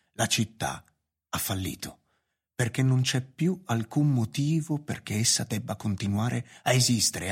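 An actor playing a resolute, nostalgic town official in a radio drama, speaking with solemn deliberation. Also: male voice, medium pitch, breathy edge. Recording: radio play with music behind. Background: music.

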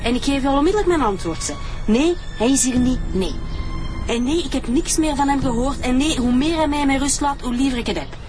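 A woman's voice: sits high, lively intonation, brisk, music in the background. An actress with a Flemish accent playing a rude, brash woman who snaps scornfully at the police. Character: rude, foul-mouthed woman shouting at police. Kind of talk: radio drama acting.

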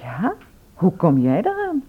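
An actress playing a frank, sharp-tongued, wry woman shopkeeper in a radio drama. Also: fairly low pitch, wildly swooping pitch, takes it slowly, husky, full character voice. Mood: frank, concerned. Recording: thin phone-like old radio recording.

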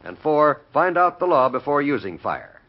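A man announcing in a scripted old-time radio style, with a husky voice. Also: on the high side, big pitch swings, moderate pace. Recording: dull, lo-fi old radio recording.

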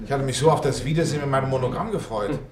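An actor playing a jocular, teasing middle-aged former pupil in a German radio play, joking and exclaiming boisterously. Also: male voice, medium pitch, racing, a crowd in the background, natural voice. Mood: amused. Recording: echoey room with voices around.

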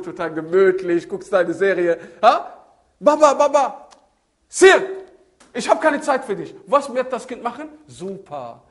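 A man, middle-aged, preaching a religious sermon in an animated storytelling way, acting out dialogues, repeating himself and asking rhetorical questions, with Arabic phrases mixed in. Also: high voice. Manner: code-switching into Arabic phrases. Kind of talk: religious sermon on raising children.

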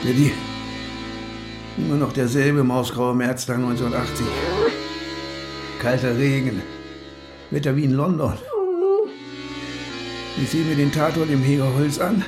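An actor playing a gruff, sardonic older police detective in a radio crime drama, wry, colloquial and commanding. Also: male voice, mid-range voice, steady tone, measured pace, long pauses, over music, natural voice. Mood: wry, musing.

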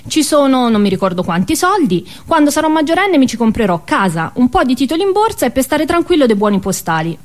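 A woman's voice: high voice, sing-song, fast talker, few pauses, pitched above the performer's own voice. Woman playing an earnest money-minded little boy. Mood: proud, earnest.

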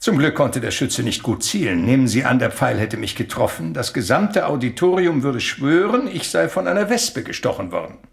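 Radio play casting: a theatrical, hunted professor, creaky and highly inflected, evasive, deliberate phrasing. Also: male voice, big pitch swings. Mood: dryly dramatic. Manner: hesitant, ponderous, deliberate delivery. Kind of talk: German radio drama acting.

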